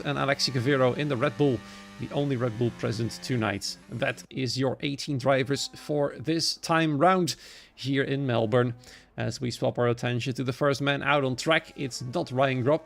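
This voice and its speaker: A man's voice: mid-range voice, gravelly. A man from the Netherlands with a Dutch accent, a native Dutch speaker, giving live solo commentary on sim racing.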